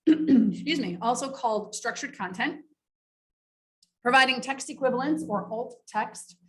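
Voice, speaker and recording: A woman's voice: fairly high pitch, poor audio. A woman presenting a training session in an explanatory, informal way, part prepared and part off the cuff. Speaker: workshop presenter. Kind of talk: semi-scripted training presentation with informal asides.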